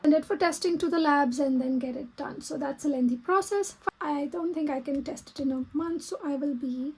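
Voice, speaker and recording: Female vlogger, Pakistani accent, native Urdu speaker, high-pitched voice, halting think-aloud delivery for casual plan-with-me content. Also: a little mellow, slight background noise, poor audio. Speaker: vlogger and small business owner. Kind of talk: casual plan-with-me vlog talk.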